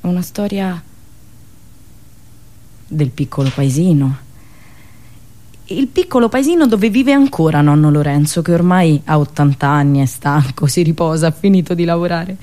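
A woman's voice: low voice, lively intonation, dark tone. Ironic woman storyteller on radio. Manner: ironic, deliberate phrasing with repetitions. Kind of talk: theatrical satirical monologue storytelling.

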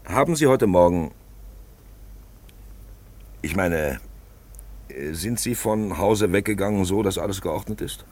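A man acting a pensive, insistent narrator figure in a 1950s radio play, declaiming and asking probing questions with hesitant pauses. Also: sits low, a little mellow, husky. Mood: solemn. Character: pensive, insistent male narrator figure who stages the action. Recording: 1950s radio drama recording.

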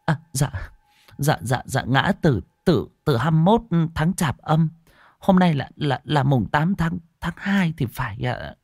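A man's voice: on the high side, expressive, normal speed, pauses often, breathy edge, no room sound, clean studio sound, pitched above the performer's own voice. A man voicing a frightened elderly grandmother who stammers and repeats her words in a storytelling recording. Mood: flustered.

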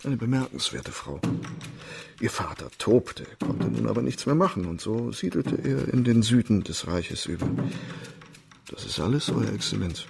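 An actor in a radio play voicing a discreet, learned family doctor of ancient China, formal and measured in his explanations. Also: fairly low pitch, big pitch swings, gravelly, over music, heavily acted. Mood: discreet, explanatory. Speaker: actor in a radio crime drama.

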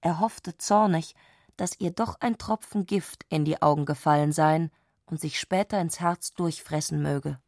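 A woman narrating a literary radio play, telling the story in measured, long sentences. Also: low voice, a little mellow, dry recording, clean studio sound.

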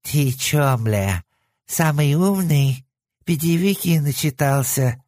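A man's voice: on the high side, expressive, slow, a little mellow, dry recording, studio quality, voice pushed up. A man voicing the cheeky, crude left head of a three-headed hellhound, with a nasal, sleazy junkie's whine. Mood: sneering. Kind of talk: audiobook character voice.

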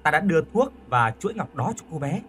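A man voicing a kindly, dignified sixty-year-old village healer in an audio story, with an unhurried, fatherly manner. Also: on the high side, sing-song, fairly bright tone, slight background noise, voice pushed up. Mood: sorrowful.

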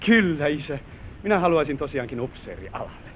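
High-voiced, eager young heir, tinny recording. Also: male voice, sing-song. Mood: hesitant, earnest. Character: eager, high-spirited young son of a manor family. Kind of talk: old radio drama acting. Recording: noisy, tinny old radio drama recording.

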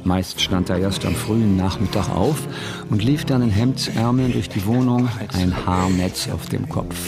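Tiefer, trockener Erzähler mit Musik. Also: male voice, on the low side, gravelly. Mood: wry, descriptive. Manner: measured, dry, literary. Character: observant, wry male narrator of a literary memoir. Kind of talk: scripted narration in radio drama. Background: music.

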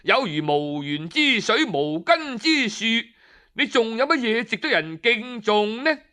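A male storyteller with a Cantonese accent voicing a stern commoner adviser who argues in measured rhetorical questions. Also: extremely high pitch, expressive, bright, forceful tone, heavily acted. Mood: stern, persuasive. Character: reasoning, stern commoner adviser lecturing a prince.

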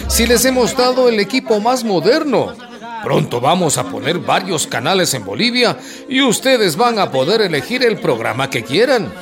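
A man's voice: high voice, very animated, moderate pace. A man playing a condescending, patronizing government negotiator in a radio drama. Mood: patronizing, persuasive. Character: condescending male government negotiator, smooth-talking official.

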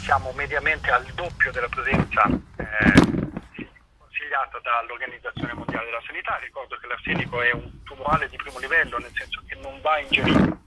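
A man's voice: mid-range voice, fairly even intonation, a little fast, heavy background noise. A man explaining things informally in an interview, earnest, discursive and colloquial. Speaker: activist, interview guest.

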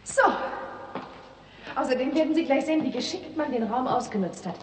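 An actress playing an earnest young doctor's daughter with clear, animated delivery in a 1960s German radio drama. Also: female voice, sits high, fairly quick. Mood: brisk, instructive. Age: young adult. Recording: reverberant, noisy vintage radio recording.

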